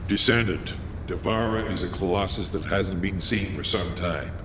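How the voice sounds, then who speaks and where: A man playing a grave, steady military commander in a video game, giving a serious, deliberate briefing. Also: deep voice, reverberant, background noise, lightly acted. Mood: grave, urgent. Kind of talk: scripted video game dialogue.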